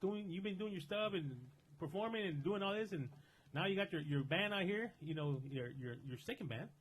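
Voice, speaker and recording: A man hosting an internet radio show, chatting casually and loudly with studio guests. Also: high-pitched, expressive, fairly quick, slight background noise, low-quality recording. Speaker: radio host.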